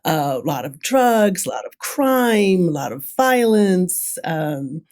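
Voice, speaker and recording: A woman's voice: fairly low pitch, slow. A woman speaking candidly and casually as a podcast guest, telling stories and acting out what people say. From grew up in London, then Brooklyn. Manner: candid, animated storytelling, acts out quoted remarks, often chuckles. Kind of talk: casual interview conversation.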